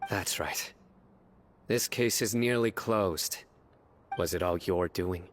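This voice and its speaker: Stern young lawman for a game: deep, unhurried, solemn, few words. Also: a little hiss or hum. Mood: grave questioning. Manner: terse, solemn, deliberate. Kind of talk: video game character dialogue.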